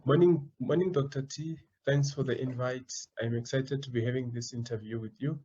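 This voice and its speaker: A man's voice: medium pitch, fairly even intonation, normal speed, mellow, highs cut off, a little hiss or hum. A young man answering interview questions about his path into business, earnest and often repeating words as he searches for phrasing. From South Africa. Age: young adult. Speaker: guest, student entrepreneur.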